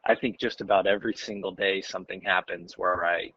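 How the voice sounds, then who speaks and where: An American man talks in a rough-edged, fairly low voice. He is a podcast panelist chatting informally with friends. The recording is dry and close. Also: fairly even intonation, fairly quick, fairly bright tone, no room sound, highs cut off. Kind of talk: casual movie chat among friends.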